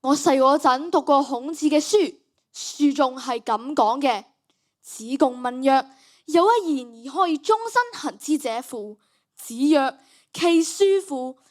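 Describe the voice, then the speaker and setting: A girl plays a solemn ancient philosopher who corrects others. The voice is high and childlike, with a Hong Kong Cantonese accent, in radio-drama style. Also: measured pace. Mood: solemn, reproving. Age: child, primary school student. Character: solemn male philosopher sage, morally reproving. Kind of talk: school radio drama acting.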